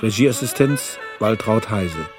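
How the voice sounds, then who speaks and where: A man's voice: lowish voice, lively intonation, slow pace. A man reading out the title and credits of a radio play as a formal announcer. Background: loud jazzy saxophone music.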